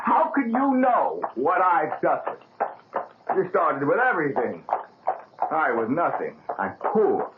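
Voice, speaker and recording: A man's voice: fairly high pitch, huge pitch swings, slow, pauses often, full character voice. An actor playing an arrogant young male pianist, boastful and bitter, in a 1970s radio drama. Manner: sneering, boastful, theatrical swings. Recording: noisy, tinny old radio broadcast.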